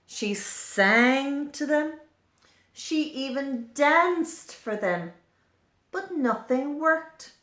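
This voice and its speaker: Woman, picture-book read-aloud, lively intonation, slow delivery, explains to little listeners, echoing room. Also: high voice. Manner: slow, explanatory, pointing things out to young listeners. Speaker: storyteller reading to children. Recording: echoey room.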